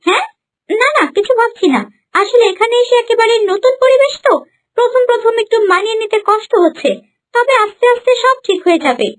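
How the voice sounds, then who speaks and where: A woman's voice: very high voice, lively intonation, fairly quick. A woman playing a haughty, scheming young princess bride in a fairy-tale cartoon, with an imperious manner. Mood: falsely sweet.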